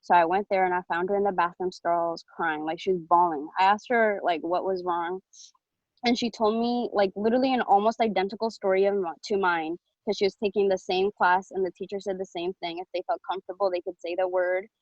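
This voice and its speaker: A woman's voice: medium pitch, fairly even intonation, brisk, dry recording. A woman of about twenty from Ethiopia, reading a prepared speech as a public commenter, earnest and personal, with fillers. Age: around 20. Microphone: video call. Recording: dull lo-fi video call audio.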